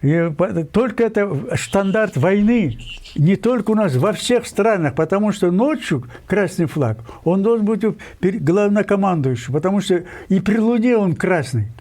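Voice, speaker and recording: An older man from Kyrgyzstan, a writer giving an interview, talking insistently with many digressions, pauses and fillers. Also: high voice, lively intonation, dark tone. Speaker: guest writer. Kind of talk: emphatic interview talk.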